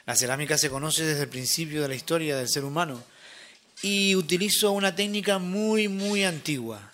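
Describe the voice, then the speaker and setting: A middle-aged man, a craftsman guest answering questions in a relaxed, storytelling way on local radio. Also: a little high, normal speed. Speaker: ceramics artisan guest. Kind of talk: casual radio interview answers. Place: radio studio.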